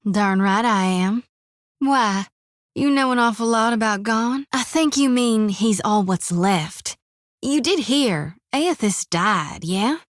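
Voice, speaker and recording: A folksy young priestess of a death god, talks in a drawl, rambling and plainspoken. Her voice sits a little high. The game recording is close and dry, with no room around it. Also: lively intonation, slow pace, dry recording. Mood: earnest. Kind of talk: video game voice acting.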